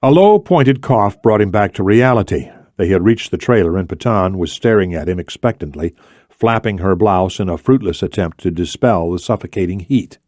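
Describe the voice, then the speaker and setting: A man's voice: low-pitched, animated, moderate pace, darkish tone, no room sound, studio quality. A man reading scripted thriller narration as an audiobook narrator.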